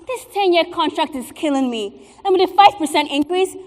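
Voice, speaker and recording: An actress with a West African accent playing an impatient, pleading mistress in a dramatic stage comedy-drama. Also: female voice, extremely high pitch, lively intonation, fairly quick. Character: impatient, pleading mistress eager to become second wife. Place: theatre stage. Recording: echoey theatre hall, distant stage sound.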